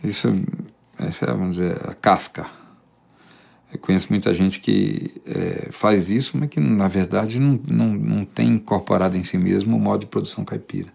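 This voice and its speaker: Gravelly man musing. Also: lowish voice, moderate pace, a little mellow. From interior of São Paulo state, Brazil. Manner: thoughtful, many restarts and repeated words. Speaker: singer-songwriter, interviewee. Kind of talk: reflective conversational monologue.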